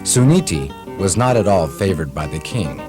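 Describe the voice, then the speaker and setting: A man's voice: low-pitched, lively intonation, moderate pace. A man narrating a puppet show as a storyteller, reading a scripted tale over music.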